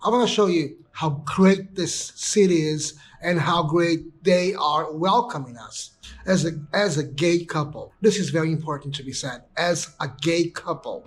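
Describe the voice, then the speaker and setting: A man's voice: a little high, husky. A young man talking casually to camera as a travel vlogger, rambling with many restarts and fillers, recorded on location outdoors. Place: outdoors at a bay and a city landmark.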